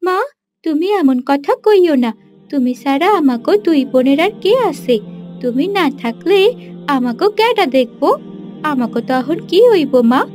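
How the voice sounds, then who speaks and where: A woman's voice: high voice, animated, normal speed, a little mellow, faint music behind. A woman voicing a poor, dutiful village girl in a cartoon, plaintive and humble, with a rural Bangladeshi accent. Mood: tender, worried.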